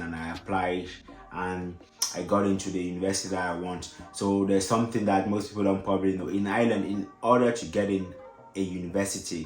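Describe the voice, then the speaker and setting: A young man with French as his first language, talking through advice in a loose, rambling way full of restarts and fillers. His voice sits very low. The room around him rings with echo, and a little music plays softly underneath. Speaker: vlogger. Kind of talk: casual advice vlog. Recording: echoey room with faint music.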